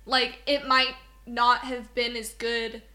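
A young woman from Southwest Missouri co-hosts a podcast, talking casually and loosely with frequent laughs. Her voice is bright and sits a little high. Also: little pitch movement, slow pace. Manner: chatty, rambling, laughs often. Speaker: podcast co-host.

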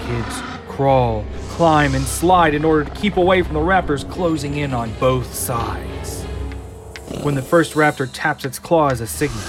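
A man reading scripted narration for an audio drama in a measured, often slow and hushed way. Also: mid-range voice. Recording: clear music underneath.

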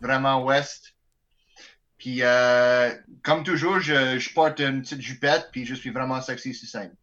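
A man with a Quebec French accent, a band's bagpiper, chatting in an interview with playful, deadpan bragging. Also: mid-range voice, measured pace, muffled recording. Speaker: bagpiper in a folk band. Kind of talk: joking interview chat.

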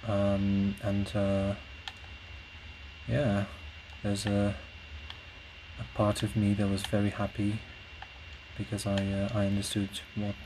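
A man, a native Portuguese speaker, talks alone in a low voice with little rise and fall. He rambles casually and thoughtfully, often pausing to find the right word, with clear noise behind him. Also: poor audio. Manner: hesitant, reflective, searching for words. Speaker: podcast host; classical singer. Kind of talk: casual solo rambling monologue. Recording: clear steady background noise.

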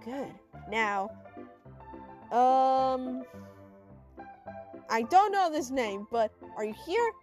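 A woman's voice: sits high, lively intonation, very slow, long pauses, darkish tone, faint music behind, heavily acted. A woman voicing a flustered, fussy middle-school teacher in an improvised story, hesitant, repetitive and exasperated.

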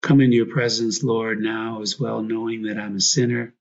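Husky, gravelly man leading prayer. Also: on the low side, level tone, highs cut off. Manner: reflective, prayerful, pausing in short phrases. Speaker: priest, meditation guide. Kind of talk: guided prayer meditation.

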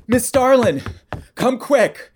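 A male actor playing an excitable, catty and easily frightened sidekick in a campy audio comedy drama. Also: extremely high pitch, takes it slowly, a little hiss or hum, heavily acted. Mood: panicked. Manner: theatrical, gushing, squeamish.

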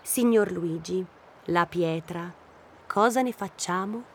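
A woman's voice: on the low side, slow, a little hiss or hum. A woman playing an earnest, hopeful hiker in an audio drama, explaining things and insisting on her beliefs. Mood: puzzled, insistent.

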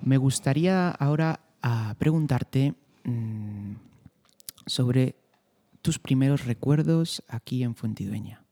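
A man from hosts a relaxed podcast interview. His voice is gravelly and dark. He asks his questions in an easygoing, unhurried way. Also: medium pitch. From Spain. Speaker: podcast host. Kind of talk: casual interview chat.

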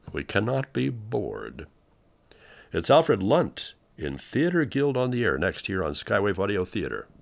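A male podcast host delivers scripted introductions. His voice sits very low and has a gravelly creak at the bottom. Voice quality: deep, gravelly.

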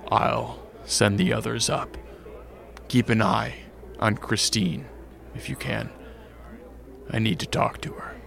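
An actor playing a weary, protective vampire count in a radio drama, speaking in a measured, halting, understated way. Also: male voice, lowish voice, steady tone, creaky, fairly bright tone, exaggerated voice. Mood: quiet, resolute.

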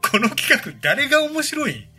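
A man's voice: extremely high pitch, very animated, unhurried, music in the background. A man co-hosting a casual podcast chat, teasing and hurrying the others along. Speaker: podcast co-host.

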